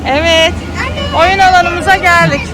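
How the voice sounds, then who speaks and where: A woman's voice: very high voice, steady tone, measured pace. A woman giving casual, chatty vlog commentary and encouraging children along. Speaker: family vlogger and mother narrating an outing. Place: children's festival at an event venue. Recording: loud music and crowd noise behind. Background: music, busy festival crowd.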